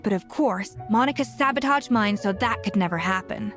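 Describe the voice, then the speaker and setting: A woman's voice: medium pitch, over music, lightly acted. A woman voicing a proud, perfectionist young master chef in a video game, blunt and assertive as she lectures. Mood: matter-of-fact, teasing. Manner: brisk, assertive, exasperated lecturing.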